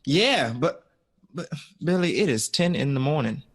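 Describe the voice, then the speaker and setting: A man's voice: fairly high pitch, lively intonation, a little slow, husky. An actor playing an enthusiastic but practical male creative partner in an audio drama. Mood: pleased, wry.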